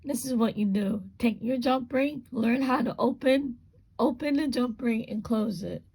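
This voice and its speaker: Gravelly woman showing a simple craft. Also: on the high side, measured pace, poor audio. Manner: relaxed, repetitive, unscripted step-by-step talk. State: voice going hoarse. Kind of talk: casual hands-on craft demonstration.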